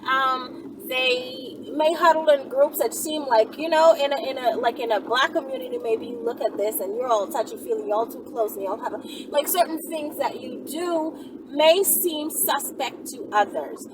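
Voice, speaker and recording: A woman's voice: sits high, very animated, moderate pace. A woman talking casually and off the cuff to her viewers, emphatic and often checking that they agree. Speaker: video channel host answering viewer questions. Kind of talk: casual unscripted talk to viewers. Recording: distant mic, clear background noise.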